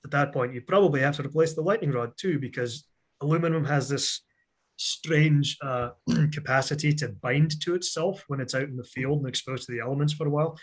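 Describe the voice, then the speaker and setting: A middle-aged man from Scotland with a mixed Scottish and American accent, presenting a virtual technical seminar over a video call in a chatty, joking, energetic way. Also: medium pitch, breathy edge.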